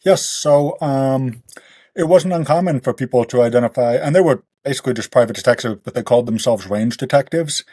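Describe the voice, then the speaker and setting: Guy chatting as a writer guest on a podcast, soft mellow tone, dry close sound, explains stuff in detail. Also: mid-range voice, brisk, no room sound. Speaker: guest author of western fiction. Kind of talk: casual podcast interview talk.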